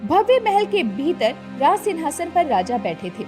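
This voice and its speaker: A woman's voice: high voice, big pitch swings, measured pace, a little mellow, soft background music. A woman narrating a scripted moral story as a storyteller.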